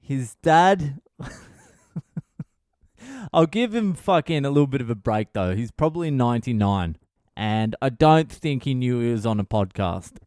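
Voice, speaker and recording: A man's voice: medium pitch, lively intonation, unhurried, pauses often, darkish tone, clean tone, no echo, studio quality. A man, a comedian hosting his own podcast, tells a story in a crude, profane way with laughing asides. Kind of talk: comic storytelling monologue.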